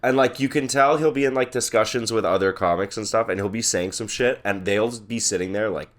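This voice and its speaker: A man's voice: on the low side, brisk. A man in his twenties co-hosting a podcast, talking loosely and casually, with lots of swearing and trailing fillers. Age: 20s. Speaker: podcast co-host. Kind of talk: casual chat.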